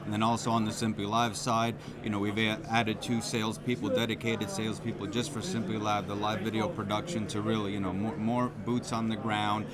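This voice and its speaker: A man giving a wordy, conversational product pitch as a company representative in an interview. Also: little pitch movement, a little fast, few pauses. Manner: wordy, frequent "you know". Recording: loud crowd noise behind. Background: loud convention crowd.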